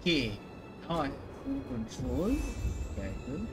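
A man's voice: a little high, huge pitch swings, slow. Offhand man commenting over game music. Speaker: gaming video host. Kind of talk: casual playthrough reaction commentary. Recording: game music loud behind voice.

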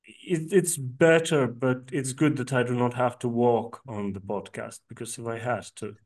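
A man's voice: medium pitch, fairly even intonation, moderate pace, distant mic. A man with a Lithuanian accent hosting a podcast, speaking polite, slightly unsure English with restarts. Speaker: podcast host. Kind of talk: casual podcast sign-off chat.

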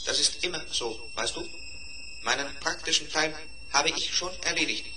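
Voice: mid-range voice, moderate pace, noisy background, close to an everyday voice. An actor playing a polite, earnest schoolboy robot in a 1970s German children's radio play, speaking precisely.

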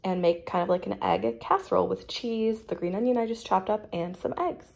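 An American woman in her thirties with a creaky voice, chattily narrating her cooking step by step in a casual, informal way. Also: mid-range voice, brisk, darkish tone, dull audio. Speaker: home cook vlogger. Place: home kitchen, indoors.

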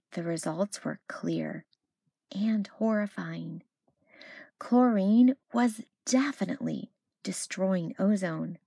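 A woman storyteller reading a children's picture book aloud expressively, with deliberate phrasing and dramatic pauses. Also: mid-range voice, darkish tone, no room sound, studio quality. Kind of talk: expressive read-aloud narration.

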